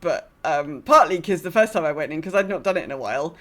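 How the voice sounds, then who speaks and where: A woman's voice: low-pitched, animated, fast, fairly bright tone. A woman hosting a gaming podcast, chatting casually in a rambling, self-deprecating way. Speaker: podcast host.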